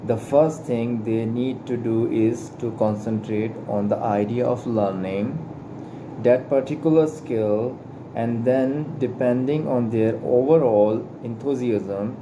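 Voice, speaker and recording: Slow halting man reading aloud. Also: mid-range voice. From Pakistan. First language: not English. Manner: halting, stumbles and repeats words. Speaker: reader of a spiritual book. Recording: steady air conditioner hum behind. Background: air conditioning hum.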